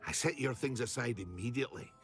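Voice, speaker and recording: A man's voice: medium pitch, lively intonation, fast talker, soft background music, lightly acted. A man playing a chatty, eager potion shopkeeper in a fantasy video game, effusive and a little fussy. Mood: eager, enthusing.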